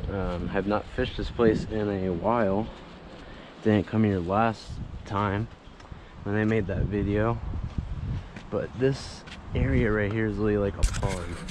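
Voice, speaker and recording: A young man casually narrating a fishing vlog outdoors, low-key and a little halting. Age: young adult. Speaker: fishing vlogger. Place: outdoors by a river. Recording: noisy outdoor camera audio.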